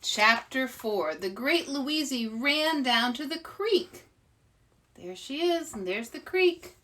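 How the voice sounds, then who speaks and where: A woman reads a children's story aloud with dramatic expression. Her voice is fairly high and very animated, and she takes her time over the words. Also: slow pace, distant mic. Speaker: author reading aloud, host.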